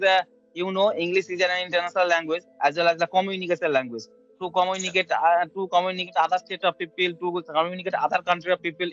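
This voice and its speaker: Fast-talking young man practicing English. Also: high-pitched, fairly bright tone, highs cut off. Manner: halting, many "uh" fillers and restarts, learner's English. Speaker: English practice partner asking questions. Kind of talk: casual English practice conversation on a video call. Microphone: video call.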